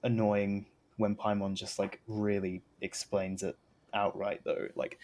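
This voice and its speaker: A man's voice: lowish voice, little pitch movement, unhurried, darkish tone. A young man giving casual, rambling, hesitant commentary while streaming a game. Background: faint game music.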